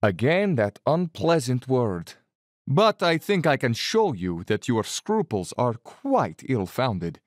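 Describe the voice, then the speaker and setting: An actor playing a suave, cold-blooded Cossack general who loves the hunt, speaking with urbane condescension in an audio drama. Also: male voice, mid-range voice, sing-song, no echo, clean studio sound. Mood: haughty, philosophizing. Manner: urbane, bland, condescending, theatrically unhurried. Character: suave, cold-blooded aristocratic Cossack general, fanatical big-game hunter.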